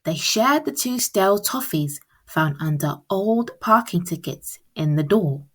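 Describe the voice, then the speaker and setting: A woman reading a children's picture book aloud as storyteller, with expressive, deliberate phrasing. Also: medium pitch, husky.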